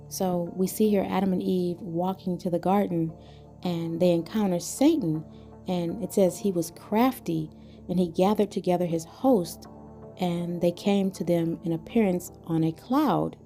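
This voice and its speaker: Mellow woman teaching scripture. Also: fairly low pitch. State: voice crackling, starting to get hoarse. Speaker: online ministry teacher. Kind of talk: scripture reading and religious teaching.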